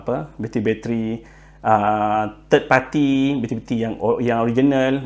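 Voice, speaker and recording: A man with a Malaysian accent explaining tips informally and unscripted, pausing on fillers, repeating himself and mixing in English words. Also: mid-range voice, a little mellow. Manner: halting, frequent filler words and repetition; code-switches with English words. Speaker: presenter giving buying tips.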